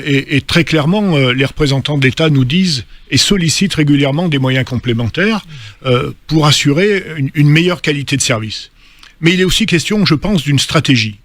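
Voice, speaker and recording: A man speaking as a studio guest on a radio debate, measured and full of hesitations. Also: fairly high pitch, a bit bright, dry recording.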